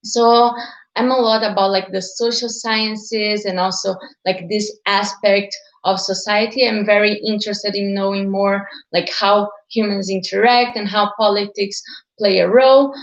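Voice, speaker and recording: A woman's voice: medium pitch, steady tone, a little slow, echoey room, low-quality recording. A woman in her twenties from Brazil, a native Portuguese speaker, talking casually to livestream viewers, with many fillers like "like" and "uh". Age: 20s. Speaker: channel host. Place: at home.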